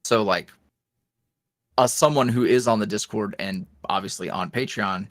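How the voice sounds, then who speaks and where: A man's voice: on the low side, normal speed, no room sound, studio quality. A man, an ASMR roleplay creator, chatting as a guest in a casual interview, rambling with many fillers and restarts.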